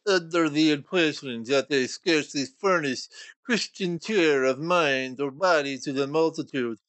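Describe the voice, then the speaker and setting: A man voicing a polite middle-aged gentleman collecting for charity, formal and courteous. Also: fairly high pitch, no echo. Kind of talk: storybook reading.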